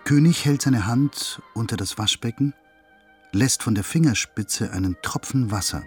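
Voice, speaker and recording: An actor playing a precise, observant storyteller, narrating a radio drama in careful detail. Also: male voice, medium pitch, moderate pace, darkish tone, soft background music, close to an everyday voice. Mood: intent, observant.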